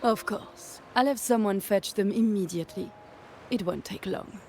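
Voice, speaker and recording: A woman's voice: mid-range voice, normal speed, a little mellow, a little hiss or hum, lightly acted. An actress voicing a theatrical, teasing noblewoman who runs a mask and costume shop, speaking in a playful, flirtatious way. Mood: polite. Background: faint game music.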